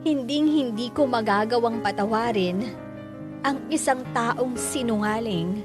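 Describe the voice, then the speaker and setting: A man's voice: very high-pitched, unhurried. An actor with a Filipino accent playing a bitter, hurt son who narrates his own story in a radio drama. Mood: bitter, pained. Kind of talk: dramatic first-person narration. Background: music.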